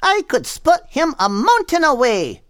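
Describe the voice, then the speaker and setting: Very high, excitable seagull. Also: male voice, measured pace, no room sound, studio quality, heavily acted. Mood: excited. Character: excitable, affectionate male seagull in an animal adventure.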